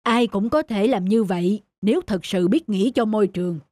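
An actor playing a modest older resort owner in a cartoon, speaking in a measured, firm, unassuming way. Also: male voice, high voice, a little mellow, no echo, lightly acted. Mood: calm, modest. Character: calm, modest older male resort owner picking up litter. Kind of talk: cartoon voice acting. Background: faint music.

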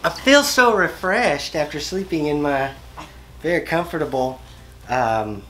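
A middle-aged man giving a casual, joking mock review from his bed, with playful formality and hesitant pauses. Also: a little high, lively intonation, fairly bright tone, slight background noise, low-quality recording. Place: bedroom, sitting up in bed.

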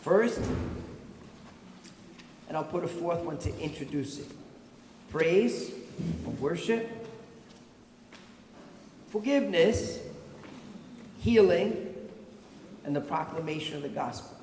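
A middle-aged male pastor with a raspy voice, giving a conversational sermon from a pulpit mic and asking the congregation questions. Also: fairly high pitch, animated, slow pace, long pauses, a little mellow, recorded from a distance, slight background noise. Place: large church, at a pulpit. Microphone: stand mic at the pulpit. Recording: echoey church heard from afar.

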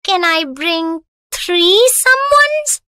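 A woman's voice: very high-pitched, a little slow, a bit bright, no room sound, clean studio sound, voice pushed up. A woman voicing a small, bold, earnest village girl who pleads and exclaims in a children's story. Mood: hopeful.

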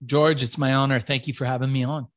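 A man from Iran, whose first language is Farsi, telling his life story as an interview guest in a swaggering, profane, animated way. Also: medium pitch, fast, no echo, clean studio sound. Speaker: entrepreneur guest.

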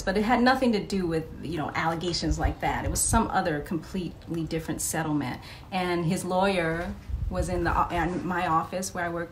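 American woman with a lowish voice, telling stories. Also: a little hiss or hum. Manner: chatty, often laughing, acts out quoted dialogue. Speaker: podcast guest, memoir author. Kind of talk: casual anecdotal storytelling in an interview. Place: wood-panelled room in a house, indoors. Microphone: studio condenser mic up close.

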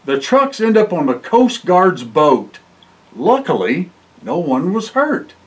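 An older man reading a children's picture book aloud in an expressive way, with exclamations and short asides. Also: high voice, slow pace. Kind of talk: storybook read-aloud for children. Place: indoor library room, seated by bookshelves.

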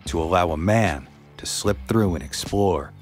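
Male podcast narrator, very low voice, steady somber storytelling, faint music bed. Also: moderate pace. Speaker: podcast host. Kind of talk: scripted true-story narration.

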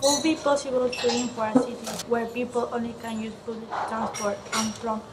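A woman's voice: on the high side, flat delivery, fairly quick, loud music behind the voice. A young woman, a student interviewer, reading out a prepared question. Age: teens to young adult. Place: café, indoors.

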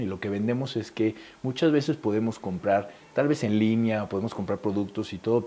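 A man in his thirties with a Mexican accent speaks in a low, mellow voice. He explains his event fluently and persuasively, dropping in small fillers. The sound is clean studio audio from a lapel mic. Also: slight background noise. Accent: Mexican Spanish. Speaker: event organizer. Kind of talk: studio interview.

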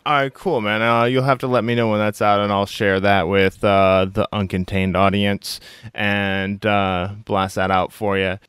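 A man hosting a podcast interview, upbeat and friendly, giving quick affirmations. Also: on the low side, little pitch movement, a bit bright, smooth, dry recording, studio quality. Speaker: podcast host. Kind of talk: casual interview chat.

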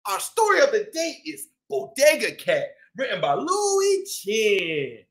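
Animated high-pitched man hosting children's storytime. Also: slow. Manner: exuberant, theatrical, often calling out to young listeners. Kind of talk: animated read-aloud for small children. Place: small indoor room. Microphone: desk microphone in front.

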